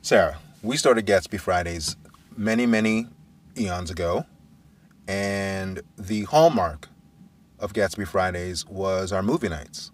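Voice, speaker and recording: A man's voice: sits low, slow, distant mic. A man from Brooklyn hosting a casual chat, enthusiastic and steering the talk with questions. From Brooklyn, United States. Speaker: podcast host.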